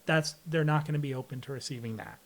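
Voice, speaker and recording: A man's voice: medium pitch, fast. A man speaking as a podcast guest in a candid interview, explaining things in a plainspoken, reflective way. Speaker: podcast guest, air traffic controller.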